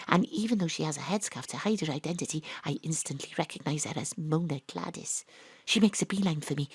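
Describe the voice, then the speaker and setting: Deadpan wry woman narrating noir parody. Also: fairly low pitch, no echo. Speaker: narrator and lead actor, private detective. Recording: dry home studio.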